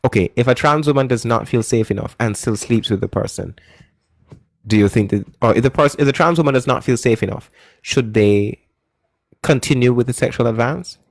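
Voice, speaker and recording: A man hosting a live call-in debate stream, pressing callers with insistent questions and joking loudly with his chat. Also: mid-range voice, fairly quick, creaky, dry recording, clean studio sound. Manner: lively, shouts and giggles at chat.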